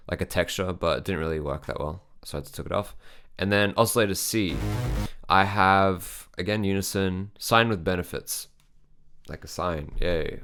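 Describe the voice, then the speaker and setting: A man's voice: sits low, fairly bright tone, clean tone. A young man, a music producer, casually explaining his synth sound design, thinking aloud with lots of fillers. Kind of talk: casual software tutorial.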